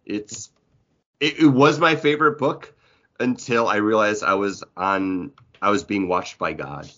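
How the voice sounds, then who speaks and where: Man, low voice, casual podcast banter, playful exaggeration, slightly dull lo-fi audio. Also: a little slow, fairly bright tone. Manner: jokey mock outrage and exaggeration. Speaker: podcast co-host.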